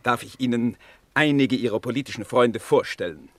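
A man plays a smooth-tongued party spokesman in a radio drama. He sounds formal and oratorical at a middling pitch, recorded very dry. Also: normal speed, dry recording, close to an everyday voice. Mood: ceremonious. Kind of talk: German radio play acting.

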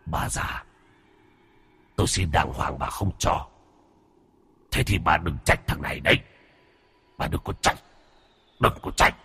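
A man's voice: fairly low pitch, sing-song, slow, gravelly, long pauses, bright, forceful tone, breathy edge, in a large hall, pitched above the performer's own voice, full character voice. A man voicing the ghost of a dead young man who hisses threats through gritted teeth and cackles as he begs. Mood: menacing whisper. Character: dead young man's ghost, menacing, grasping.

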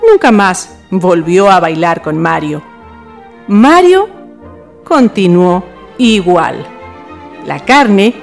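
A woman with a Chilean accent giving scripted narration for a radio drama. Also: medium pitch.

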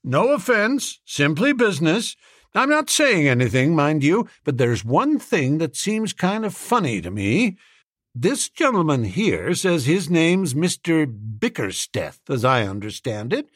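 A man acts out a stout, hard-headed American businessman in a storybook reading. He is brash and suspicious, pressing his point in a high, lively voice. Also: unhurried, no echo, studio quality, heavily acted. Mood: suspicious, insistent. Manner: brash, insistent.

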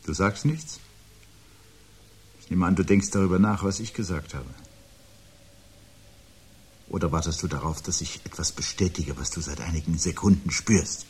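An actor playing a brooding, articulate man who calmly awaits the world's end in a radio play, speaking in a measured, formal, sardonic way. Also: low voice, natural voice. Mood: insistent, grim. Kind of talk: 1970s German radio play acting. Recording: 1970s radio production with audible background noise.

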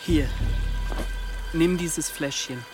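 An actor playing a secretive, pious young lover in a German audio drama, speaking in an earnest, pleading, hushed way. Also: male voice, a little high, drawn-out words, music in the background. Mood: urgent, secretive. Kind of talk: German audio drama acting, intimate dialogue.

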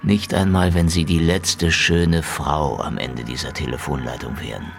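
An actor playing a cynical, wisecracking Soviet secret police investigator who narrates a hardboiled radio crime drama in a sardonic, laconic way. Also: male voice, a bass voice, steady tone, moderate pace, gravelly. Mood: flippant. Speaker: narrator and lead detective. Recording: music behind the voice.

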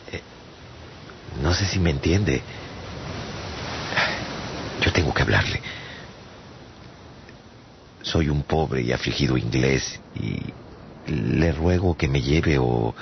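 A male actor playing an afflicted castaway traveler who tells his story earnestly and dramatically in a gravelly voice, in a radio drama. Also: rumbling low, slight background noise, full character voice. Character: afflicted English castaway man recounting his strange voyages. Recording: dull sound under prominent music. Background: music.

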